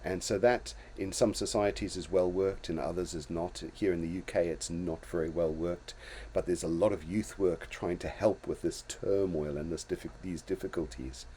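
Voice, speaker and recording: A man's voice: low voice, steady tone, moderate pace, few pauses, mellow, slight background noise. An older man from Zimbabwe hosting a casual talk show, reflecting on his own life in a storytelling way with many restarts and small chuckles. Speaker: host.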